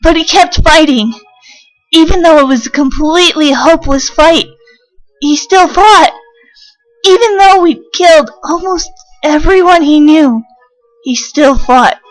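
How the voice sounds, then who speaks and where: An earnest child played by a woman speaks in a high voice, slowly and solemnly, pausing between phrases. Music runs underneath the dramatic fan dub. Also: rough recording.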